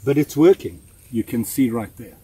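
A man in his sixties giving a relaxed, chatty hands-on knife review outdoors in the woods, with plenty of fillers and restarts. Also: medium pitch, normal speed, husky. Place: outdoors in woods, kneeling in the undergrowth.

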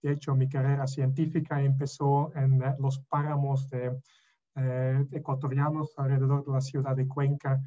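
A man's voice: medium pitch, flat delivery, moderate pace, dark tone. Hesitant non-native lecturing man. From Belgium. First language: not Spanish. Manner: hesitant, many fillers and restarts. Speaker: professor. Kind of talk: online lecture. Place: room at home. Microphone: webcam on a video call. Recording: dull lo-fi video call audio.

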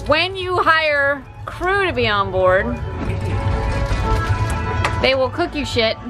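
A woman's voice: high-pitched, big pitch swings, slow pace, over loud music. A woman in her forties hosting a sailing vlog on a catamaran, teasing and upbeat, talking into a handheld camera. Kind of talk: casual vlog narration. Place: on a catamaran at sea.

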